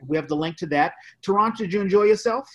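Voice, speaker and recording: A man hosting a podcast, reading out announcements in an informal, halting way. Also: sits high, lo-fi sound. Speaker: podcast host. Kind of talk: casual podcast sign-off and announcements.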